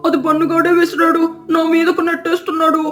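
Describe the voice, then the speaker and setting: A woman's voice: extremely high pitch, monotone, quick pace, faint music behind. A woman voicing a mischievous young boy crow who whines and protests in a children's cartoon story. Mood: whiny, defensive. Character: mischievous young boy crow, whining and blaming his friends. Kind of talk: children's animated story dialogue.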